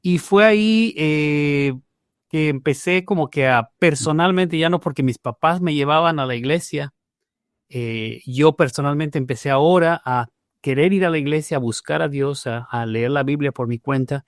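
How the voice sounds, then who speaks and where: A man's voice: fairly high pitch, normal speed, clean tone, dry recording, clean studio sound. A man from Mexico, a pastor telling his conversion story in a podcast interview, speaking with frequent "eh" fillers and restarts. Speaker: pastor, guest.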